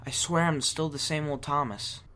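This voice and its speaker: A man's voice: a little high, fairly even intonation, normal speed, pushed and bright. Man acting a loyal, cocky teenage boy. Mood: sincere. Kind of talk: amateur audio drama acting.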